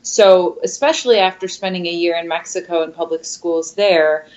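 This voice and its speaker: A woman who grew up speaking Spanish first, answering interview questions in a thoughtful, measured way with frequent fillers. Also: on the low side, fairly even intonation, darkish tone. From Michigan, USA. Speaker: guest, opera singer. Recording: dull lo-fi sound.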